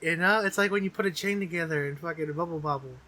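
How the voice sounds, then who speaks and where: A man's voice: fairly high pitch, fast talker, pushed and bright, poor audio. A young adult man co-hosting a podcast, chatting casually in slangy language with plenty of swearing. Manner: profane, slangy. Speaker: podcast co-host. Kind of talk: casual chat, banter.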